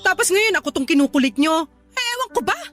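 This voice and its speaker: A Filipino actress playing a resentful, defiant young woman working abroad in a radio drama, with a sharp-tongued, argumentative manner. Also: very high-pitched, very animated, fast. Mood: indignant, defiant. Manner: argumentative, indignant, sarcastic. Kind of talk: Tagalog radio drama acting. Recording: music behind the voice.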